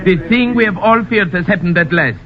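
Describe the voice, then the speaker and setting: An actor playing a grave, loyal army lieutenant with formal, earnest, theatrical old-time radio delivery. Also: male voice, high voice, brisk, lightly acted. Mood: urgent, alarmed. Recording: muffled, noisy old radio recording.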